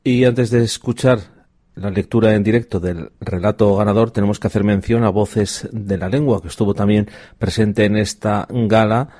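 A man presenting at a gala, announcing the next reading in a measured way. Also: on the low side, fairly even intonation, dark tone. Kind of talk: stage announcing.

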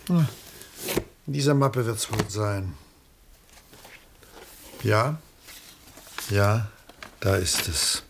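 An actor playing a reserved, world-weary, melancholy middle-aged artist in a 1960s German radio play, measured and guarded. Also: male voice, lowish voice, gravelly, noisy background, full character voice. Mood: hesitant, melancholy.

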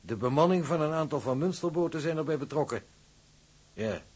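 A man playing a formal, calculating shipping company official in a radio drama, measured and officious. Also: on the high side, animated, a little mellow, breathy edge. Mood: cool, businesslike.

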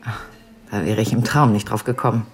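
Deep-voiced, inquisitive woman. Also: steady tone, brisk, husky. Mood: probing, thoughtful. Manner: questioning, tentative, thoughtful. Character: inquisitive, thoughtful great-niece of a patient. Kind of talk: radio drama dialogue.

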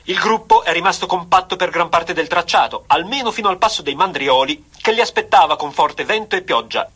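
An actor playing an excitable 1920s radio cycling commentator in a florid, emphatic, declamatory style. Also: male voice, sits high, brisk, slightly rough, heavily acted. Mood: solemn reporting. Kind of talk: vintage sports radio commentary acting.

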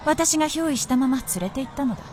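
An actress playing a haughty, mischievous princess spirit, imperious and teasing, with archaic speech in a radio drama. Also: female voice, high voice, fast, darkish tone, a little hiss or hum, close to an everyday voice. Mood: wry, proud. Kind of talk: Japanese period radio drama.

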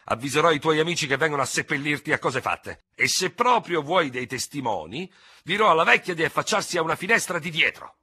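A man voicing a furious, hot-headed small Gascon cavalry lieutenant, sputtering, hissing and shouting in an audiobook story. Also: lowish voice, steady tone, brisk, hardly any pauses, slightly rough, no echo, clean studio sound, pitched above the performer's own voice. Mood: furious, impetuous.

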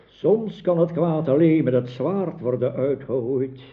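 An actor playing a weary, wise old wizard mentor in a radio drama, speaking with measured, solemn counsel. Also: male voice, a little high, husky. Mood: solemn, advising. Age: older. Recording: thin, phone-like old radio play recording.

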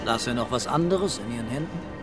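An actor playing a laconic, patient male police commissioner putting unhurried questions in a radio drama. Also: medium pitch, expressive, over music, natural voice. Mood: patient, questioning.

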